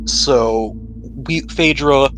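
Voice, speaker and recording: A man's voice: medium pitch, drawn-out words, a bit bright, slight background noise, poor audio. A man acting as game master, narrating a tabletop roleplaying game in a casual, improvised way. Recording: music playing under the voice. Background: background music.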